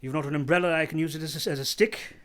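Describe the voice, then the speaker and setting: An actor playing a proud, brusque gentleman master of a house in a radio play, with a curt, imperious and halting manner. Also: on the high side, fairly even intonation, close to an everyday voice. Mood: curt, questioning.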